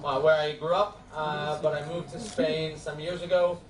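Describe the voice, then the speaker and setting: A law professor with a New York accent gives a friendly, halting classroom lecture full of filler sounds. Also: male voice, fairly high pitch, level tone. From United States. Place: lecture hall. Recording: echoey hall from far off.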